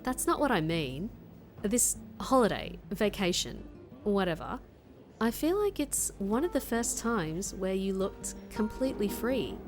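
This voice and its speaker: Chill sincere friend, bit teasing, audio drama, voice goes up n down a lot, music under it. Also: female voice, medium pitch, big pitch swings, a little slow. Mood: sincere, curious. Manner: sincere, laid-back, teasing. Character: perceptive, sincere, laid-back woman gently probing her friend. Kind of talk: acted audio drama dialogue.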